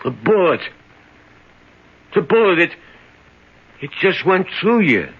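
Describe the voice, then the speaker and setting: A man's voice: high-pitched, sing-song, slow pace, slightly rough, full character voice. A desperate gold-toothed man. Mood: panicked. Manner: pleading, panicky, stammering. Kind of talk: 1970s radio drama acting. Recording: lo-fi old radio broadcast.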